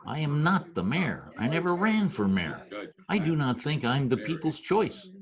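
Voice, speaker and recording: A man's voice: a little high, big pitch swings. A man playing a wry, slangy, nervous narrator in an amateur old-time radio play. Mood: worried protest. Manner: slangy, deliberately formal wording. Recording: crowd chatter behind, online call recording.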